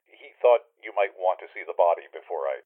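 A man's voice: deep voice, lively intonation, fairly quick. A man acting a grave, formal county coroner through a telephone filter in a 1940s-style radio drama. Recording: muffled, husky telephone line.

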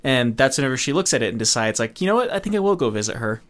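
Male podcast co-host, average pitch, informal conversational recap delivery. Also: big pitch swings, very fast, a bit bright. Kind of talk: casual film recap chat.

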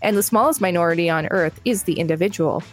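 A woman's voice: on the low side, fast, faint music behind. A woman co-hosting a podcast, reading an encyclopedia article aloud with dry, wry quips. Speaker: podcast co-host.

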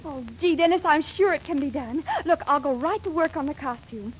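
Actress as high-voiced, plucky young American woman. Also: a little fast, a faint crowd, lightly acted, rough recording. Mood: eager, scheming. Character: plucky, scheming young sweetheart living with her mama. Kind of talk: 1940s radio sitcom acting. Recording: hissy 1940s radio recording.

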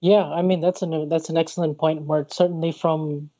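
Mellow co-host man, muffled audio. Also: on the high side, little pitch movement. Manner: measured, with many fillers. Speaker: podcast co-host. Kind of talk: casual podcast chat.

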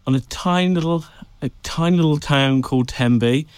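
A man's voice: fairly high pitch, a little fast, a little mellow, smooth, no room sound. A man from Wales, chatting as a guest in an interview and telling stories with a laugh, recorded in an office. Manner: chatty, laughs often, storytelling. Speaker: guest, PR agency director. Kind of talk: casual interview chat.

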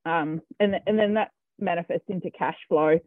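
High-voiced Australian man on a tinny line. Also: normal speed, no room sound. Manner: hesitant, full of ums and restarts. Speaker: cattle farmer guest. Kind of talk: casual interview answers. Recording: tinny phone-line sound, dry.

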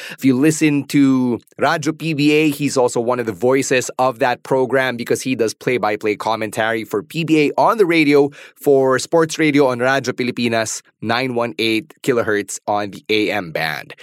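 A man's voice: mid-range voice, no echo, clean studio sound. A man with a Filipino accent hosting an interview podcast, articulate and conversational. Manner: articulate, conversational, switches into Tagalog. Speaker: podcast host.

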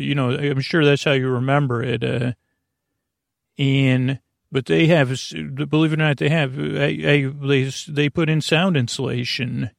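A man delivers a soothing bedtime monologue in a middle-pitched voice full of gravelly creak. He meanders and stumbles over his words, drifting from one tangent to the next. The sound is dry, with no room echo. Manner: meandering, hesitant, stammering with tangents. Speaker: host of a bedtime sleep podcast.

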